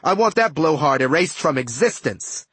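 A man's voice: fairly high pitch, very animated, normal speed, a bit bright, slightly rough, no echo, full character voice. Man putting on a cocky, wisecracking ghost villain. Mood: smug, gloating. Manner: breezy, taunting slangy talk.

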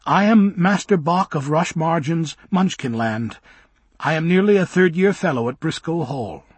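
A man's voice: fairly high pitch, animated, normal speed, husky, dry recording, voice pushed up. A man voicing an earnest young male student who speaks stiffly and formally. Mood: wounded.

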